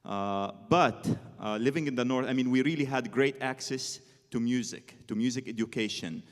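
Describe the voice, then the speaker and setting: A man's voice: mid-range voice, moderate pace. A man speaking reflectively as a guest on stage, hesitant and full of filler phrases. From northern Israel. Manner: hesitant, frequent "you know". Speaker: interview guest, violinist. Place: on stage in a concert hall. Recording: reverberant concert hall.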